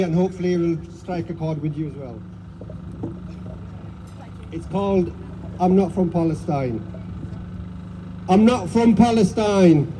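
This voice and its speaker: A man's voice: high voice, background noise. A man at an outdoor street rally who reads out a heartfelt poem after a few words to the crowd. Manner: plain, heartfelt recitation with fillers. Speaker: rally speaker reading a poem. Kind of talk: reading a poem at a street protest.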